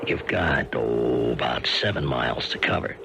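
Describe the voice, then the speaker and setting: A man acting a gruff, middle-aged American army captain in a radio drama, talking informally with halting pauses. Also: rumbling low. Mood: casual briefing. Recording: noisy old radio broadcast.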